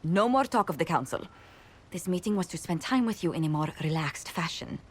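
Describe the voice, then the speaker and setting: A refined lady adviser from a fantasy game, voiced by an actress. She speaks in a slightly low voice, with gracious manners and careful formal wording. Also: animated, fairly quick, slight background noise, natural voice. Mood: courteous. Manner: formal, precise, polite. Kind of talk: video game dialogue acting.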